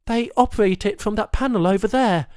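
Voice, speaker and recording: A man's voice: sits high, brisk, a little mellow, clean tone, no room sound, studio quality, voice pushed up, lightly acted. A man voicing a blunt, sardonic captive woman journalist in an audiobook. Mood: tense. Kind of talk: audiobook character dialogue.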